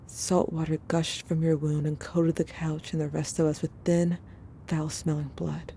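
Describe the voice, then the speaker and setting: A haunted, protective sibling in an audio drama, speaking intimately to the one remembered. Her voice is on the low side and very mellow, kept hushed and subdued. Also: little pitch movement, moderate pace, gravelly, a little hiss or hum. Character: haunted, protective sibling confiding a traumatic memory. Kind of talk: intimate scripted second-person narration.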